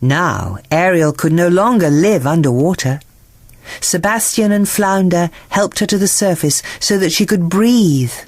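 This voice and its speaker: A woman narrating a bedtime story in an expressive, measured and dramatic way. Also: on the low side. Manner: dramatic, measured, acts out characters.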